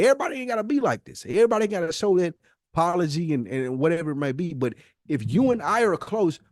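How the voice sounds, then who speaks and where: A man's voice: a little high, no echo. Blunt American man in a dry recording. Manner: blunt, emphatic, repeats himself for emphasis. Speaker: podcast co-host. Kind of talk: casual argumentative podcast chat.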